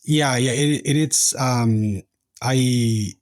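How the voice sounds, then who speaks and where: A man's voice: medium pitch, very slow, a little mellow, dry recording, clean studio sound. A man in his forties from Argentina, answering interview questions in a hesitant way with many fillers and restarts. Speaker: podcast guest, company founder.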